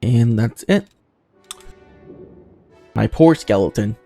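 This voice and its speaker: A man's voice: medium pitch, expressive, slow pace, creaky, warm tone, soft background music. A man giving casual playthrough commentary as a gaming host, thinking aloud and swearing casually as he goes.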